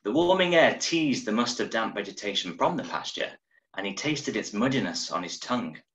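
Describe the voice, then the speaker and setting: A man in his thirties reading a short story aloud in a measured, dramatic way, recorded at home over a video call. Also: lowish voice, lively intonation, a bit bright. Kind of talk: expressive audiobook-style story narration. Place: small room at home, indoors. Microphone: video call from a desk, wearing earphones. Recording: roomy, echoing home webcam recording.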